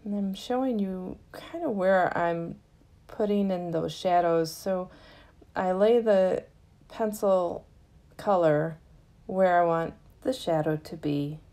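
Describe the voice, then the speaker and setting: A woman with a slightly low, soft-edged and mellow voice hosts a craft tutorial. She talks at a slow, unhurried pace and explains each step gently in casual, everyday narration.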